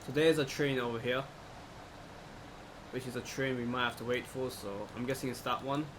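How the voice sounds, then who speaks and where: A man giving casual, low-key let's play commentary, thinking aloud as he goes. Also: moderate pace. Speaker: gaming video host. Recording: clear background noise, steady hum.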